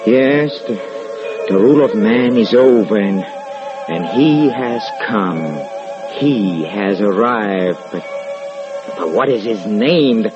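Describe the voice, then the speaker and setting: An actor playing a haunted, paranoid man sliding into madness, halting and repeating himself in old-time radio horror style. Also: on the low side, animated, music in the background. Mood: feverish resolve. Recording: hissy, muffled old radio recording.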